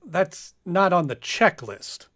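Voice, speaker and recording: A man's voice: high-pitched, very animated, slow, fairly bright tone, full character voice. A man playing a scheming, by-the-book space captain in a comic radio play, stammering and theatrically flustered. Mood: exasperated, flustered.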